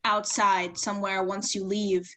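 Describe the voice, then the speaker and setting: A woman's voice: mid-range voice, flat delivery, a little slow, bright, forceful tone. A woman playing a wary, curt young woman confronting an abusive ex in a play reading. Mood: guarded, firm. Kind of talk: staged play reading over video call.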